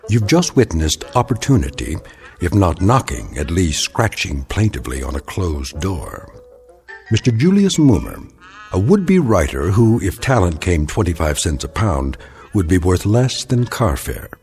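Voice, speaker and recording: A man narrating an uncanny anthology drama in a droll, measured, formal and faintly ominous way. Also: sits low, sing-song, darkish tone, soft background music, heavily acted. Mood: wry.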